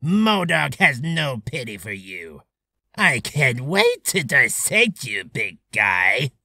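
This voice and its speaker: A man's voice: medium pitch, big pitch swings, takes it slowly, bright, forceful tone, no echo, clean studio sound, full character voice. A man voicing a cruel mad-scientist villain, gloating with theatrical menace for a voice reel. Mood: gloating, menacing. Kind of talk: character voice reel.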